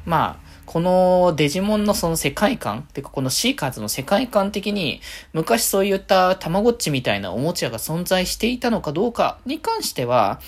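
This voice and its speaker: A man hosting a solo podcast, giving casual fan commentary and thinking aloud in loose, winding sentences. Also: medium pitch.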